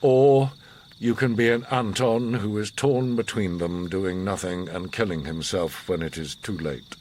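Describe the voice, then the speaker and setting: An actor playing a grave, commanding, enigmatic older war survivor in a radio drama, speaking in a measured, solemn, philosophical way. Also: male voice, fairly low pitch, fairly even intonation, darkish tone, slight background noise, close to an everyday voice. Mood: stern. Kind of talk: radio drama monologue and dialogue.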